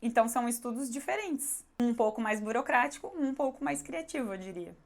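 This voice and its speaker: A hoarse woman with a Brazilian accent, talking candidly and conversationally as a YouTuber answering viewer questions. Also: fairly high pitch, animated, brisk. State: hoarse, recovering her voice. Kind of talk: casual question-and-answer vlog.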